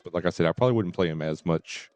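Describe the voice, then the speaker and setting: Deep voiced guy streaming games, rambly casual chat, kinda hesitant, dry sound w some music under it. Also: rapid-fire, a little mellow, dry recording. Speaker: game streamer.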